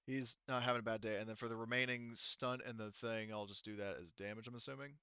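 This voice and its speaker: A man narrates a tabletop game as its game master. His voice is smooth and mid-pitched, and he talks informally, wandering through rules and action. The sound is very dry and a little dull. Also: steady tone, fast talker, bright, forceful tone, no echo. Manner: informal, rambling, swears. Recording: dry, slightly muffled voice mic.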